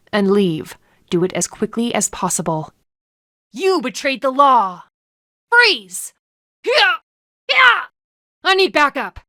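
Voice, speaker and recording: A woman's voice: mid-range voice, fairly bright tone, no echo, clean studio sound. An actress voicing a stern, haughty female panda palace enforcer in a video game, formal, commanding and disdainful. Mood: stern, official, then fierce.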